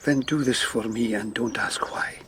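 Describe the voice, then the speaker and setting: A man's voice: mid-range voice, bright, forceful tone, slight background noise, close to an everyday voice. Man playing a wary, courteous old lord. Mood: jealous, suspicious. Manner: formal, measured, wary. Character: aging lord, courteous, jealous, protective husband. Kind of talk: radio drama acting.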